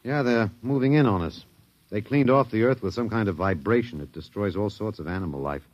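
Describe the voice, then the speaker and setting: An actor playing a wry, detached, philosophical American academic, musing and hesitant, in a 1950s radio drama. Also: male voice, on the low side, brisk, dry recording, lightly acted. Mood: musing explanation. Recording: dull vintage 1950s radio recording.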